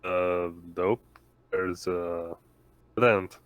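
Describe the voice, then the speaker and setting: Male tabletop player, crisp smooth lowish voice, dry sound, informal clipped remarks. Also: monotone, drawn-out words, no room sound. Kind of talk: casual game chat.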